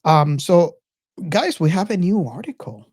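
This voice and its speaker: A podcast host talking casually to listeners and co-hosts, haltingly and searching for words. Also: medium pitch, very animated, a little mellow, dry recording, studio quality.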